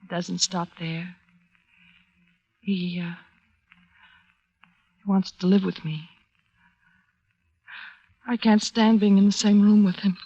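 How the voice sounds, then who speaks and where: A woman playing a wealthy, troubled, elegant woman, confiding in a halting, dramatic 1940s radio manner. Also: mid-range voice, fairly even intonation, mellow. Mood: troubled, resigned. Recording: muffled, noisy 1940s radio recording.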